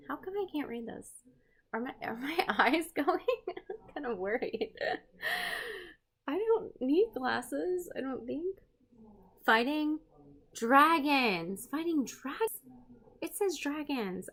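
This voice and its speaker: A woman hosting an art read-aloud, expressive and playful, with chatty, self-deprecating asides to her viewers. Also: mid-range voice, vocal fry. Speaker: host, art teacher reading a picture book aloud.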